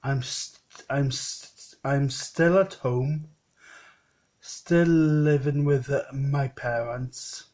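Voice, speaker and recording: A man's voice: medium pitch, fairly even intonation, drawn-out words, a little mellow. A man in his late thirties talking in a rambling personal vlog, often repeating himself and restarting. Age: 30s. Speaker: vlogger.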